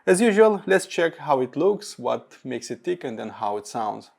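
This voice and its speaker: A man narrating a semi-scripted product review in measured phrases that start high and trail off low. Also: very animated, gravelly. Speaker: audio gear reviewer.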